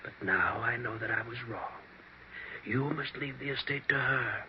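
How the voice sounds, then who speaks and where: A man acts out a brooding male ghost in a vintage radio mystery. His delivery is grave, remorseful and gravelly, pressing each warning. The old recording is dull, with light hiss behind him. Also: medium pitch, close to an everyday voice. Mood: hushed, doomed. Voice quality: hushed, breathy whispering at times. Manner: solemn, slow pleading warnings. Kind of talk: 1940s radio mystery acting.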